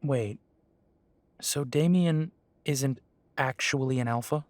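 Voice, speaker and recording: A blunt, guarded young male werewolf acted by a man in an audio drama. His voice is mid-pitched and recorded very dry, with a questioning, defiant edge. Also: slow, dry recording, lightly acted. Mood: confused, sceptical. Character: defiant, bewildered young werewolf, reluctant pack leader. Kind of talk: audio roleplay drama acting.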